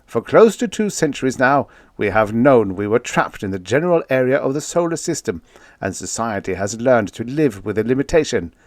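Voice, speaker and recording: A man's voice: mid-range voice, big pitch swings, moderate pace, no echo, studio quality, voice pushed up, lightly acted. A man voicing a genial, avuncular elder president in an audiobook, with an emphatic, hearty manner. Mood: earnest, upbeat. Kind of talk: audiobook character acting.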